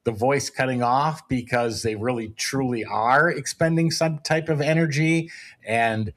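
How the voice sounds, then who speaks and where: A man in a casual conversation, speaking at a middling pitch as an investigator who explains past work. His delivery is informal and explanatory, like a guest on a talk show. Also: unhurried, fairly bright tone.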